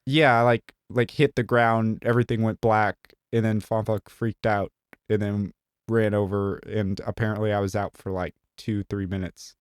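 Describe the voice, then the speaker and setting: A man's voice: lowish voice, flat delivery, normal speed, smooth, dry recording, clean studio sound. A young man from Southern Illinois, co-hosting a podcast in a casual, rambling way, full of fillers and jokes. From Southern Illinois, USA. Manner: rambling, heavy use of "like" and "um", joking. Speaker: podcast co-host. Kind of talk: casual chat.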